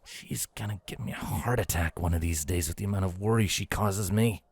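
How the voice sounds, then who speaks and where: A man's voice: sits low, brisk, a little mellow, husky, dry recording. A man voicing a worried, wry and exasperated stallion prince, a protective older brother in a storybook tale. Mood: wry exasperation.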